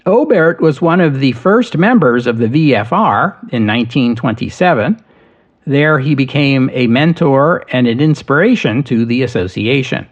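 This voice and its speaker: A man narrating history as a podcast host, in a measured, steady storytelling delivery. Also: mid-range voice, highs cut off.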